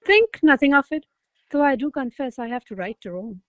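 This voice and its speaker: An actress playing a haughty, quick-witted noblewoman in a classical comedy radio play, imperious, formal and articulate. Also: high-pitched, expressive, a bit bright, no room sound. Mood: cool, ironic. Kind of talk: stage-style radio play acting.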